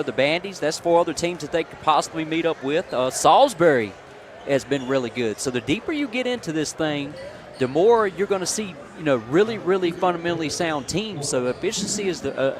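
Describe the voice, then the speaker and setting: A man giving casual, folksy basketball color commentary on a live radio broadcast. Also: fairly high pitch. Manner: folksy, conversational, peppered with "man". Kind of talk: casual pregame analysis. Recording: noisy gym ambience behind live broadcast.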